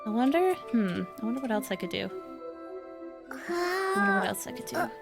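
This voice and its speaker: Animated, high-voiced woman streaming a game. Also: moderate pace, creaky, music in the background. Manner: animated, chatty, muttering to self. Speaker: game livestream host. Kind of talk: casual livestream chat while playing a game.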